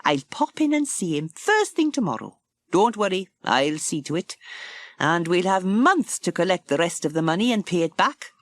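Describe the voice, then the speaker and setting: A woman's voice: on the low side, big pitch swings, moderate pace. A woman voicing a confident, helpful lady doctor and village organiser in a children's storybook.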